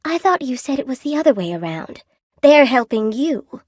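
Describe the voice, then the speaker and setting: A woman's voice: sits high, big pitch swings, moderate pace, a little mellow, dry recording, clean studio sound. An actress voicing a friendly, wry spider weaver woman in a video game, chatty and coy, with a folksy, lightly theatrical delivery. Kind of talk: video game character dialogue. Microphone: close dry studio microphone.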